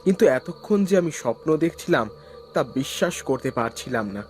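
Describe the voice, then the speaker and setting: A man's voice: mid-range voice, a little fast, soft background music. A young man narrating a cartoon ghost story in the first person. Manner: terrified pleading in the scene, calm when narrating.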